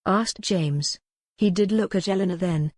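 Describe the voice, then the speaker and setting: A woman's voice: fairly low pitch, a little slow, darkish tone, dry recording, clean studio sound. A woman reading scripted dramatic fiction as an audiobook narrator.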